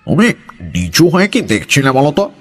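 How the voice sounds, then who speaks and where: A man's voice: fairly high pitch, big pitch swings, moderate pace. A man playing a creepy, sly schoolmaster in an audio horror story, falsely friendly and full of coaxing, probing questions.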